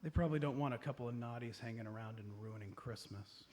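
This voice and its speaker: A man playing an excitable, dim but earnest amateur detective in a live comedic radio play, theatrical and exclamatory. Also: medium pitch, fast, lightly acted. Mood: dismayed. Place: comedy club stage before a live audience. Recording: some noise, live stage recording.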